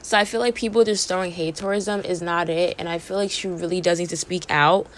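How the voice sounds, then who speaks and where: A woman's voice: on the low side, steady tone, fast talker. Chatty young woman with a strained voice. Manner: chatty, fillers like "like" and "you know". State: losing her voice. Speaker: podcast host. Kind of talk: casual entertainment gossip commentary.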